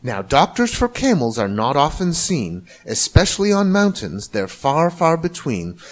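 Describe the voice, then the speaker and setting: A man narrating a rhyming children's story in an expressive, theatrical way. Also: a little high, a little slow. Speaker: storybook reader.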